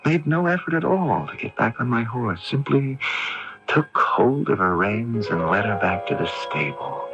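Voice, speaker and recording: Brooding young man played by a male actor, anxious and expressive, low register, for muffled vintage AM radio drama. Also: moderate pace, natural voice. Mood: brooding, puzzled. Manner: brooding and questioning, stammering under stress. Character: troubled, anxious young son recounting his story. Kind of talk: radio drama acting with first-person narration. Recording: muffled early-1980s AM radio broadcast, music behind.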